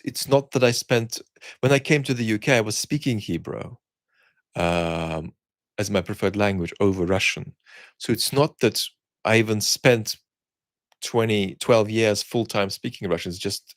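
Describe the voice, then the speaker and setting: A man hosting an online chat, talking reflectively and conversationally in articulate, opinionated phrases, often pausing and restarting words as he searches for the right one. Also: fairly low pitch, no room sound, clean studio sound. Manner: halting, searching phrasing with repeated word starts. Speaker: host of a live chat for an online community.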